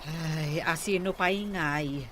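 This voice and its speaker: A woman's voice: deep voice, slow pace. A female voice with a Filipino accent over faint background noise. A woman acting as an opinionated village neighbour discussing other people's business in a radio drama.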